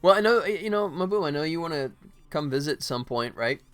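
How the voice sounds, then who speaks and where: A man's voice: on the high side, expressive, brisk, clean tone. Chatty man livestreaming over prominent music. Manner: chatty, rambling, thinking aloud. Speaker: livestream host and solo indie game developer. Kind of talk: casual livestream chat while working.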